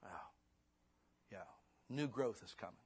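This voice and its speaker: A man in his fifties preaching a sermon in church. He swings between hushed, intimate lines and fervent, rising exhortation, then closes in prayer. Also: lowish voice, animated, slow pace, husky. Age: 50s. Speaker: pastor.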